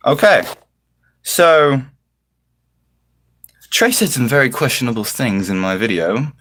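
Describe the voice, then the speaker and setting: A man hosts a casual livestream in a mid-pitched, highly animated voice. He affects a British-style accent and chooses flowery, mock-formal words. His delivery is theatrical, rising and falling widely as he addresses his viewers. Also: slow.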